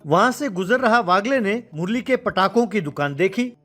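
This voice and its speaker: A man's voice: high voice, expressive, normal speed, bright, forceful tone, soft background music. A man narrating a scripted comic story as its storyteller.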